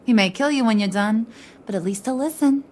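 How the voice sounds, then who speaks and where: A woman's voice: a little high, fairly quick, a little mellow, a little hiss or hum, lightly acted. A woman playing a brash, kooky, flirtatious crime boss in a video game, with a slangy, teasing New delivery. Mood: brash, playful. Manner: slangy, playful, teasing, wise-cracking.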